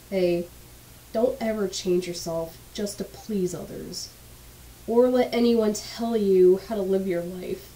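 A woman's voice: mid-range voice, mellow, poor audio. A young woman with a slightly stuffy, congested voice, casually narrating a personal story with blunt, candid remarks and casual swearing.

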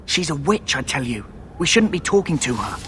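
An actor playing a superstitious, jumpy rogue recruit in a fantasy game, agitated and full of warnings. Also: male voice, on the high side, sing-song, fairly quick, a bit bright. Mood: fearful, suspicious. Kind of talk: fantasy video game acting. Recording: game audio with clear background noise.

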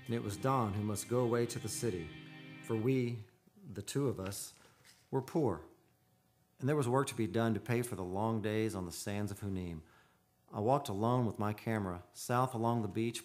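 Man acting a solemn, haunted storyteller, low voice. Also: close to an everyday voice. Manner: solemn, measured, poetic storytelling. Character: earnest, haunted seafarers' descendant telling his eerie tale. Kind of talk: old-time radio drama acting. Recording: live radio studio with music behind.

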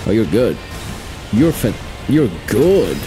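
A man with a raspy voice doing excitable gameplay commentary with a friend, repeating short urgent phrases. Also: fairly low pitch, slow pace, poor audio. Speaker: gaming streamer. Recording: loud game music behind voice. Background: video game music and effects.

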